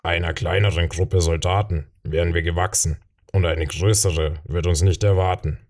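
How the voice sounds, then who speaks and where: A man's voice: low voice, fairly even intonation, normal speed, a little mellow. A man voicing a confident, reassuring rebel fighter in a fantasy audiobook. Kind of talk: audiobook character voice.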